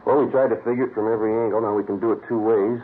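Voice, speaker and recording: An actor playing a terse, matter-of-fact American police sergeant in a 1950s radio crime drama, speaking in clipped, flat phrases. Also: male voice, lowish voice, rapid-fire, lightly acted. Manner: clipped, flat, businesslike delivery. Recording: muffled, hissy vintage radio recording.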